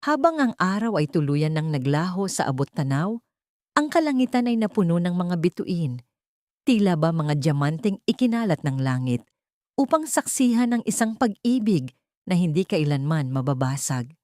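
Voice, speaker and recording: A woman's voice: lowish voice, very animated, moderate pace, darkish tone, dry recording, studio quality. A Filipino woman narrating a scripted dramatic story in a sentimental, solemn manner. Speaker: audiobook narrator.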